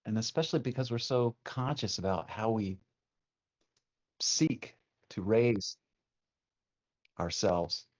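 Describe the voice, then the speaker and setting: A man leading a guided meditation as a teacher, speaking in a soothing, unhurried way with long pauses. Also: lowish voice, lively intonation. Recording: dull, muffled lo-fi sound.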